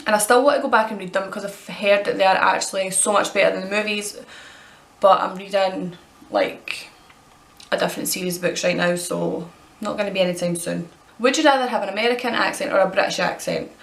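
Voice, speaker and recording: A Scottish woman of twenty-two, chatting casually as she answers tag questions and rambles with quick self-corrections. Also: mid-range voice, gravelly, a bit bright, roomy sound. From Scotland. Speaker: vlogger.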